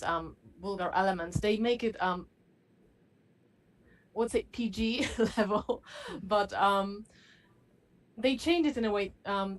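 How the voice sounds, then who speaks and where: A woman giving her views as a guest in an academic panel discussion, halting often as she goes. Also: mid-range voice, rough recording. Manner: halting, frequent hesitations.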